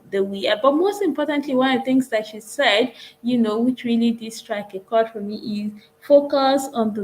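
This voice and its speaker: A woman's voice: medium pitch, poor audio. Earnest woman hosting an online conference. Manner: earnest, with frequent fillers and repeated phrases. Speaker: conference host. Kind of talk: recapping sessions at an online conference.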